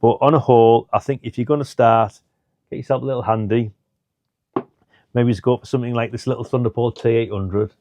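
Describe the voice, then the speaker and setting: A man's voice: medium pitch, fairly even intonation, fairly quick, mellow, no room sound, lo-fi sound. A middle-aged British man giving a casual, chatty product rundown, thinking aloud in an informal way. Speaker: hobbyist product reviewer.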